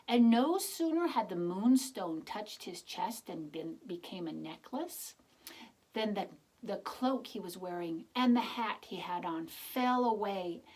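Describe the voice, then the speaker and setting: Woman narrator for children's read-aloud; expressive, simple, explanatory, voice slightly husky. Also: medium pitch, rough recording. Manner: expressive, explains words and pictures, asks gentle questions. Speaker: children's storybook reader.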